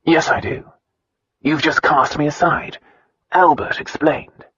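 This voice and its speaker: A narrator voicing an easygoing, teasing aristocratic husband with a drawling, casual manner. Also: male voice, on the high side, steady tone, slow pace, husky, no echo, muffled recording, heavily acted. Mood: amused. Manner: drawling, casual, softly amused.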